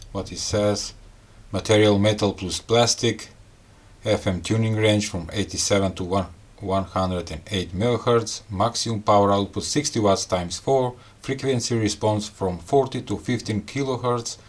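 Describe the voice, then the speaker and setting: A man reviewing a gadget in a casual unboxing style, talking in halting, piecemeal phrases and muttering to himself. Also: lowish voice. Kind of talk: casual unboxing and product explanation.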